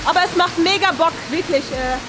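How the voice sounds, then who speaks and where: Very high-voiced excited young woman. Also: background noise. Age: young adult. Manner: excited, informal, slangy. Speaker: host of a kids' challenge video. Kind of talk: casual excited vlog presenting. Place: indoor swimming pool. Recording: loud music, rushing water behind.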